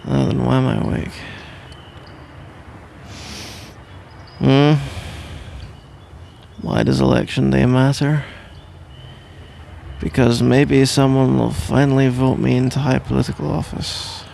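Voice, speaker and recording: A man's voice: medium pitch, flat delivery, unhurried, vocal fry, pauses often, warm tone, slight background noise. A man playing a sleepy, grumbling, playful husband in an ASMR roleplay, rambling and bantering with mock indignation. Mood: groggy, confused. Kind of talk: ASMR roleplay, improvised-sounding one-sided conversation.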